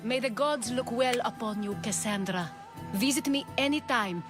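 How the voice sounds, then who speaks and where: A woman's voice: on the high side, normal speed, over music, close to an everyday voice. A woman playing a gracious, knowing ally in a video game cutscene set in ancient Greece. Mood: gracious, assured.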